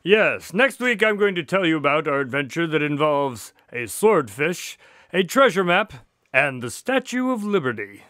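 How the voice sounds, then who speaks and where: A man's voice: a little high, sing-song, moderate pace, a bit bright, no room sound, studio quality. A man playing an earnest doctor in a radio drama, the detective's companion, explaining things in a storytelling way. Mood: explanatory.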